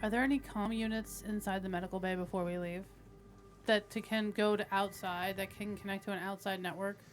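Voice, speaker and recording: Female tabletop player, quick delivery, medium pitch, casual game talk, faint music behind. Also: fairly even intonation.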